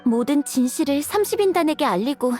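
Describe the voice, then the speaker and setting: A caring young woman with an extremely high, very lively voice. She is an earnest, expressive merchant's daughter in a game drama, with faint music. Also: a little fast. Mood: concerned, sad. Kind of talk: dubbed video game acting.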